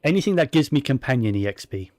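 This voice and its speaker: A man's voice: medium pitch, big pitch swings, quick pace, a little mellow, no room sound. A man giving casual, rambling commentary while playing a video game, with plenty of fillers and restarts. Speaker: gaming streamer.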